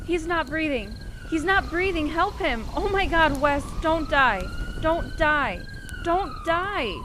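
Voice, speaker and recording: A woman's voice: sits high, animated, slow, background noise. A woman playing a frantic young woman pleading for her wounded boyfriend in a radio play. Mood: desperate, pleading.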